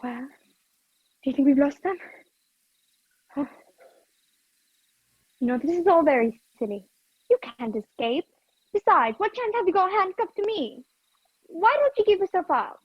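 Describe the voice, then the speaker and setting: A woman's voice: very high voice, expressive, a little slow, pauses often, dry recording. A woman playing Pamela, a haughty, stubborn young woman who protests indignantly in an old-fashioned radio play, muffled over a video call. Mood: sceptical, defiant.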